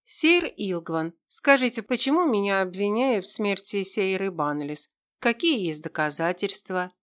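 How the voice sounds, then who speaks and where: An anxious, plucky nanny heroine of a fantasy audiobook, played by a woman narrator. She questions insistently in a clear, smooth voice. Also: medium pitch, animated, measured pace, fairly bright tone. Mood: pleading, insistent.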